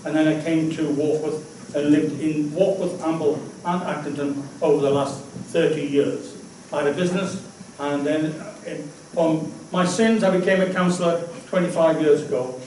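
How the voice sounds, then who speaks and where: An older man from England, speaking loudly and confidently at a hustings without a microphone. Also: on the high side, fairly even intonation, normal speed, recorded from a distance. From North East England. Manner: loud, self-assured. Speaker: councillor and candidate. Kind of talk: public hustings speech. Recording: very echoey hall, no mic, faint noise.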